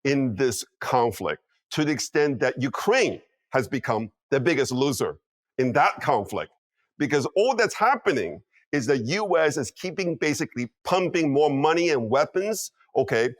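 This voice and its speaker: A man's voice: mid-range voice, lively intonation, moderate pace, no echo. Male guest strategist in his fifties, punchy and insistent, mid-range voice, dead-dry room. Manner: emphatic, peppers talk with "okay?" and "you know what?". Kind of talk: interview commentary.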